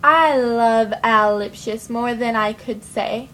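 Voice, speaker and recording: A woman's voice: a little high, slow, a bit bright. A woman doing a dry, scorned lover. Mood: ironic. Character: scorned woman who killed her cheating artist lover.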